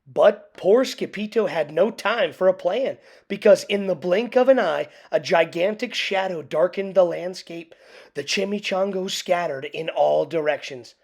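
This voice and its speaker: A woman reading a children's picture book aloud in an expressive, theatrical way with dramatic pauses. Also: fairly low pitch, faint music behind. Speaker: storybook reader.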